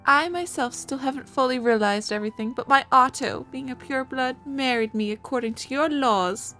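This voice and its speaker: A woman's voice: high-pitched, moderate pace, sharp tone, soft background music. A woman voicing an anxious, coy young newlywed noblewoman in a visual novel, in a theatrical manner with a wandering put-on accent. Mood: indignant.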